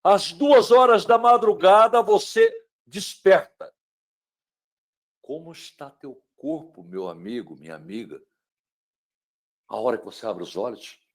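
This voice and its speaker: Dramatic Brazilian man lecturing. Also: fairly high pitch. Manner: dramatic, swings between loud emphasis and hushed tones; asks the listener rhetorical questions. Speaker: speaker on mental wellbeing. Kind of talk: webinar lecture.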